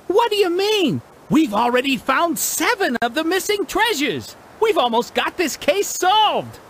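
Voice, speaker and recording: A woman playing an excitable talking gadget sidekick in a children's game, with faint noise behind. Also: very high-pitched, unhurried, full character voice. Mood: puzzled. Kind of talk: scripted children's game voice acting.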